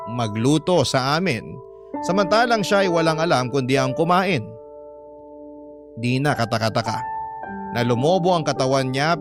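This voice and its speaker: A man with a Filipino accent dramatically reading a listener's letter in the first person as a radio drama narrator. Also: on the high side.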